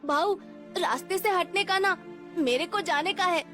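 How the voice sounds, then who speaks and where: A woman's voice: very high voice, a little slow, over music, voice pushed up. A woman voicing a poor young orphan boy in a cartoon story, pleading in Mumbai street slang. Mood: polite, wary.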